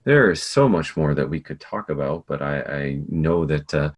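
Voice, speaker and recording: A man hosts an education podcast and guides his guests with calm, affirming questions. His voice sits extremely low. He sounds steady and unhurried. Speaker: podcast host. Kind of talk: podcast interview.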